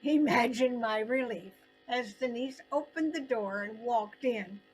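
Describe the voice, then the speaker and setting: An older woman narrating her own personal story in an expressive, deliberate way with dramatic pauses. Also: on the high side, soft background music, rough recording.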